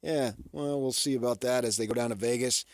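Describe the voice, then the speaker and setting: A man hosts sports talk radio in a close, dry studio sound. His pitch sits in an ordinary middle range. He talks casually and conversationally, with a folksy touch and plenty of rhetorical questions. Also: fairly even intonation, brisk, no room sound.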